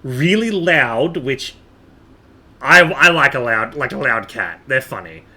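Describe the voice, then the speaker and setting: Animated, bright-voiced man livestreaming. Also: medium pitch, slow. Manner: animated, rambling, repeats himself for emphasis. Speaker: game livestreamer. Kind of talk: casual livestream chat and game commentary.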